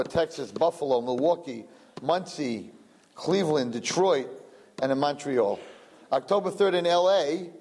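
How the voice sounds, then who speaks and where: Brooklyn-accented male host, chatty and rambling, self-joking, mid-pitched voice. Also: darkish tone. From Brooklyn, USA. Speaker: event host. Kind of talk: live-streamed announcements.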